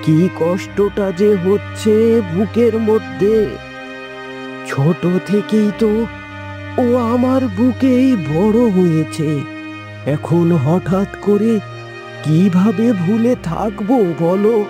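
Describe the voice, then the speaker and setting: A man's voice: high-pitched, slow, long pauses, music in the background. A man voicing a doting, grieving middle-aged woodcutter father in a cartoon fairytale, tender and plaintive. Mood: grieving, longing.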